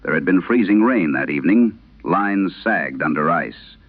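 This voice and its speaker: A man voicing an eighty-one-year-old former telephone operator recounting her past in a measured, grave, literary way. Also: sits low, lively intonation, no echo. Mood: uneasy, hushed. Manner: measured, grave, literary first-person storytelling. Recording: muffled band-limited old radio sound.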